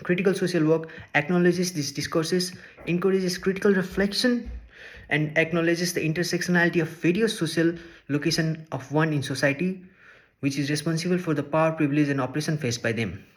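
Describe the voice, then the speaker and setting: A man's voice: on the high side, steady tone. Earnest young man, English speaker. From Nepal. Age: young adult. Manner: measured and earnest, listing points one by one. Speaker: social work student. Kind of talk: reading a prepared class presentation.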